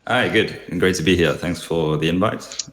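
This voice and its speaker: A man speaks as an interview guest. His voice is extremely low, and he answers haltingly, pausing often as he goes. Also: fairly bright tone. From Zimbabwe. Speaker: podcast guest, software developer.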